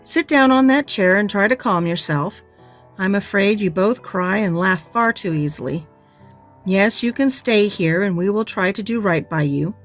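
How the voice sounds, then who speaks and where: A woman voices a stern middle-aged spinster who answers curtly and with open disapproval. She speaks at a mid pitch, close to the reader's own voice, in a dry, matter-of-fact way. Faint music sits under the reading. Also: lively intonation, moderate pace, close to an everyday voice. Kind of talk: audiobook character reading.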